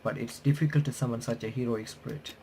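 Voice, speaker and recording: Gravelly man reading out a visual novel. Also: medium pitch, fairly even intonation, dark tone, slight background noise, low-quality recording. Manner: halting reading with stumbles and self-corrections. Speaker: host of a game playthrough and reaction channel. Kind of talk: reading visual novel text aloud with casual commentary.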